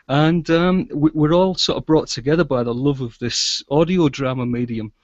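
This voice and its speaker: A man's voice: fairly high pitch, a little slow, no room sound, dull audio. A middle-aged man chatting casually as an interview guest, in a chatty manner, over a video call. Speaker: guest, audio drama producer and sound engineer. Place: small indoor room with shelves, video call.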